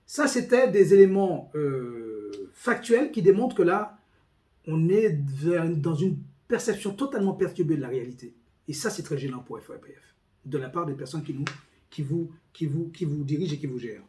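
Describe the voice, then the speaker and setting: Man, fairly high pitch, soft mellow tone, formal and emphatic, union representative's report. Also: lively intonation, normal speed. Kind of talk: spoken meeting report with quoted documents.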